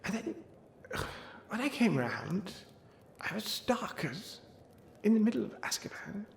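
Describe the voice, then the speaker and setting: A man's voice: high voice, big pitch swings, measured pace, creaky, exaggerated voice. A man playing a befuddled old wizard who mumbles and rambles through tall tales in a comic ASMR roleplay, trailing off into muttered asides. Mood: befuddled reminiscing. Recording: roomy echo, faint background noise and ambience.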